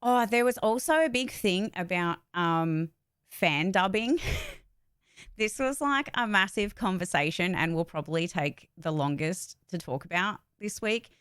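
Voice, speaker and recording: A woman's voice: lowish voice, lively intonation, unhurried, a bit bright, clear, resonant tone, no room sound, clean studio sound. A woman chatting casually and informally on a livestream, rambling a little as she gives updates. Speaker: voice actor and streamer giving updates.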